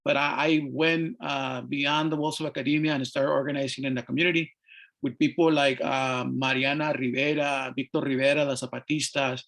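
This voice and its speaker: A middle-aged man from Mexico, speaking on an art panel and telling his story in a halting way, with many fillers and restarts. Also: medium pitch, flat delivery, creaky, a bit bright. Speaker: panelist, artist. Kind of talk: panel discussion, personal story.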